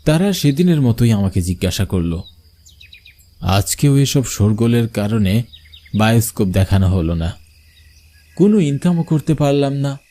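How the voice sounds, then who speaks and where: A man's voice: mid-range voice, very animated, moderate pace, long pauses, warm tone, lightly acted. A man playing a frightened village showman, narrating his own horror story for a cartoon. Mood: worried, fearful. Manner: dramatic storytelling. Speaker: narrator and main character. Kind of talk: scripted first-person horror cartoon narration.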